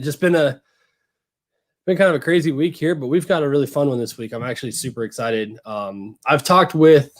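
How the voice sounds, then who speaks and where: Male podcast host, laid-back, joking, lots of ums, mid pitch. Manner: relaxed, joking, lots of fillers. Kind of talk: casual chat.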